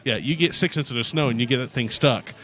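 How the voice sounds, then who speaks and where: Booming-voiced young man hosting a podcast. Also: brisk, fairly bright tone, slight background noise. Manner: rambling, laughs often, booming voice. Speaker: podcast host. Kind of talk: casual chat.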